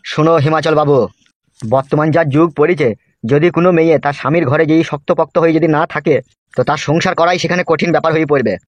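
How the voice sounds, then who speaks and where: A man's voice: a little high, fairly even intonation, fast, no room sound, natural voice. A man voicing a bossy, crude village politician in a comedy cartoon, with coarse, scolding talk. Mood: bossy, probing.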